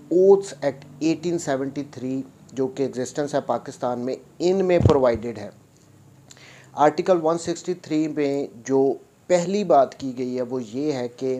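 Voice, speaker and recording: A man's voice: medium pitch, warm tone. A man with a Pakistani accent gives an explanatory legal lecture, didactically restating points in other words and mixing in English terms. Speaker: advocate presenting a law lecture.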